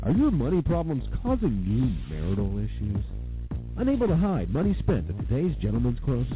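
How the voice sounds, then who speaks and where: A man playing a smarmy, dramatic commercial announcer with drawn-out slogans in a parody radio ad. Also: medium pitch, huge pitch swings. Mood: smarmy selling. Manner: hard-sell, drawn-out slogans. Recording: tinny lo-fi, music bed.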